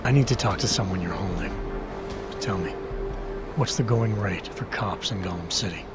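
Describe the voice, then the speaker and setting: A low-voiced, unshakeable government agent acted by a man from a video game. He speaks in terse, deadpan lines with tight control, over loud music. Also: normal speed, lightly acted. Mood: dry, challenging. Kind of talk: video game cutscene acting.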